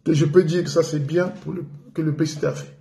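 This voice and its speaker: A man's voice: a little high, steady tone, fairly quick, vocal fry, warm tone, echoey room. A man from the Republic of the Congo giving an indignant political commentary on community radio. He repeats himself for emphasis and speaks with feeling. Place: living in France.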